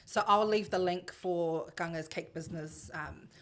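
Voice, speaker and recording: A woman's voice: lowish voice, normal speed. A woman hosting a podcast interview in a studio, chatty and enthusiastic, often laughing. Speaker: podcast host.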